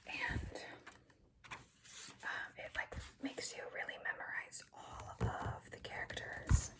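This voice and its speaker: A young woman whispering an ASMR show-and-tell in a rambling, chatty way, full of fillers. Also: distant mic. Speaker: ASMR creator.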